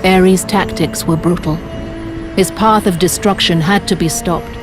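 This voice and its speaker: A woman playing a regal, solemn goddess in a video game, speaking in a measured, formal way. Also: fairly low pitch, steady tone, close to an everyday voice. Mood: commanding. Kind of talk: dramatic video game acting. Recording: music underneath.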